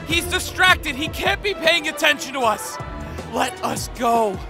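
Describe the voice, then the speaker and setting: A woman's voice: sits high, animated, measured pace. A woman voicing a frightened, desperate traitor ninja who pleads in a comic dub. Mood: desperate pleading. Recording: loud music behind the voice. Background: music.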